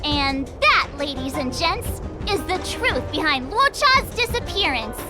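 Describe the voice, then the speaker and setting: Very high, playful young storyteller. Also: female voice, big pitch swings, measured pace, music in the background. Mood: theatrical. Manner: theatrical, cheerful storyteller. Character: playful young woman narrating her dramatic detective theory. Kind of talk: video game voice acting, narration.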